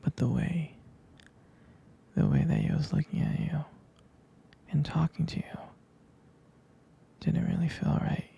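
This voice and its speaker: Clingy, devoted young boyfriend male actor for ASMR roleplay: slow, very soft and mellow, breathy, hesitant, intimate. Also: fairly high pitch, exaggerated voice. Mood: jealous, earnest. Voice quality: breathy, husky half-whisper. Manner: hesitant, halting, tender.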